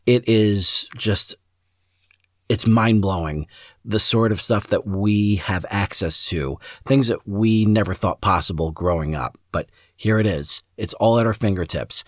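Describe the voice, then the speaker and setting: A man with a fairly low voice introduces a podcast episode. He addresses his listeners directly with enthusiasm and gratitude. The sound is dry, with little room around him. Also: measured pace, dry recording. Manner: enthusiastic, appreciative. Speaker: podcast host.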